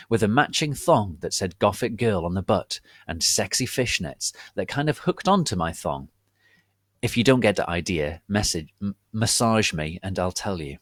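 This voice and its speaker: A man giving a theatrical dramatic reading of a story, deadpan about its absurd wording. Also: on the low side, no room sound, clean studio sound. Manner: deadpan, reading misspellings and typos literally. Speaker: reader of online fan fiction.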